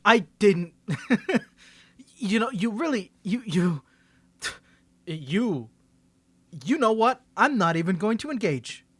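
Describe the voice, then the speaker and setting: A man acts out a confident young male superhero in an intimate audio roleplay. His voice sits a little high and swings animatedly as he teases and banters, tripping into stammers when flustered. The sound is dry, with no room around it. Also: very animated, takes it slowly, pauses often, husky, dry recording, full character voice. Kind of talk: scripted audio roleplay, ASMR channel.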